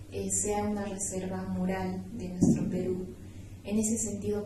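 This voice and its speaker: A twenty-year-old woman with a southern Peruvian accent co-hosting a podcast interview in a scripted style. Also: medium pitch. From Juliaca, Puno, Peru. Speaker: podcast co-host. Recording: echoey room, distant mic, faint noise.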